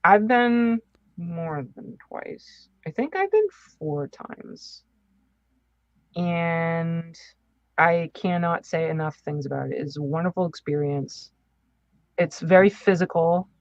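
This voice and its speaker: A woman's voice: fairly low pitch, animated, takes it slowly, no echo, dull audio. A woman co-hosting a podcast in casual, chatty and informal style, speaking with enthusiasm. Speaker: podcast co-host.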